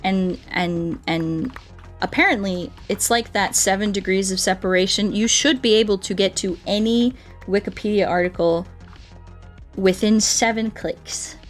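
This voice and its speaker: A woman's voice: mid-range voice, steady tone, unhurried. Playful woman chatting over music. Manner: playful, rambling, goofy. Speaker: game livestreamer. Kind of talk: casual livestream chat while playing.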